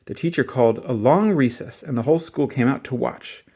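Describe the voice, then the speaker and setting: A man reading a children's story aloud in an expressive, dramatic and emphatic way. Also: mid-range voice, brisk, vocal fry, slightly rough. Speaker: storybook reader.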